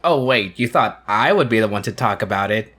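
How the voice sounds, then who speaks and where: A man's voice: fairly low pitch, animated, a little fast, fairly bright tone, a little hiss or hum. A man hosting an online video, giving a scripted introduction and sponsor read directly to viewers. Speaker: YouTube video creator, host.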